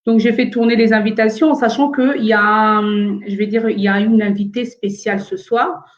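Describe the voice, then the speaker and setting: A woman from France with Congolese roots hosts a casual live stream from her home. Her voice sits a little high, and she talks haltingly, with many fillers, as she works through sound problems with her callers. The audio is dull and lo-fi. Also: fairly even intonation. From France, of Congolese origin.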